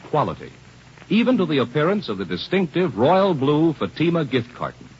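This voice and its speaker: A man's voice: big pitch swings, moderate pace, darkish tone. An American man reading a 1950s radio advertisement as an emphatic commercial announcer. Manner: emphatic sales pitch. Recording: dull, noisy old radio recording.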